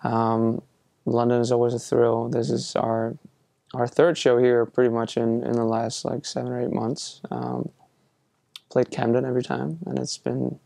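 A young man from Iceland talks through interview answers in a relaxed, hesitant way, with plenty of fillers and restarts. His voice is gravelly and dark, and his pitch stays flat and even. The sound is dry and close. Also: a little slow, dry recording. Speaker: musician being interviewed.

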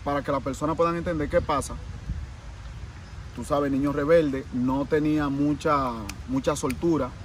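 Man, Dominican Spanish accent, earnest and repetitive, somewhat high voice, distant mic, noisy background. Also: steady tone, moderate pace. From Dominican Republic. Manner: earnest, repeats phrases, restarts often. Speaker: interview guest, vodou practitioner. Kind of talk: casual interview.

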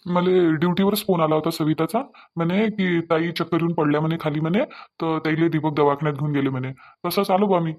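Man acting an earnest, fast-talking young son. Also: fairly high pitch, a little mellow, natural voice. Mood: concerned. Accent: Varhadi Marathi of Vidarbha. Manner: earnest, hurried, repetitive. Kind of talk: family cartoon dialogue.

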